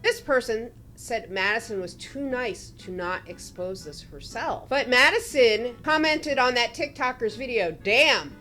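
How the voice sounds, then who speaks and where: A woman's voice: on the high side, expressive, a little slow, few pauses, sharp tone, soft background music. A young woman giving sarcastic gossip commentary, with a knowing tone.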